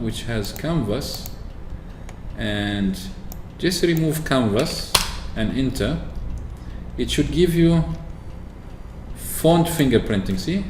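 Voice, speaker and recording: Chatty man demoing software, echoey room. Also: medium pitch. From Oman. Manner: chatty, explains with many fillers and restarts. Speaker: tech video host. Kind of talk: screen-recorded software walkthrough. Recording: echoey room with faint ambience.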